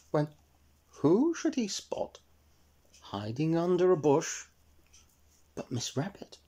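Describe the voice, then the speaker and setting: A man's voice: on the high side, dark tone, slightly rough. A man narrating a children's story as a storyteller.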